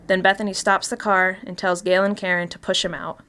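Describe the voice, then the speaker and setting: A woman's voice: on the low side, flat delivery, fairly quick, pushed and bright, slight background noise. A woman actor playing a chatty, darkly gleeful killer confessing in a first-person mystery story, in a conversational, confiding way. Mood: confiding, uneasy. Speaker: actor reading a short story.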